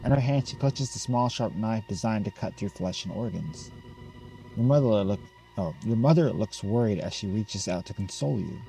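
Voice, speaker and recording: A man playing a game on a recording, reading its text aloud and thinking out loud with some hesitation. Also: on the low side, animated, normal speed, gravelly, faint music behind, poor audio. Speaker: gamer reading and reacting to a visual novel.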